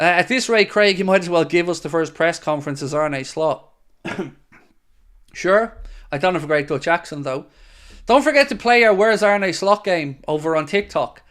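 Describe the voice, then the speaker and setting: A man's voice: on the high side, fairly bright tone. A young man hosting a live fan stream, reading out viewer questions and answering in a chatty, upbeat way. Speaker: host of a football fan channel.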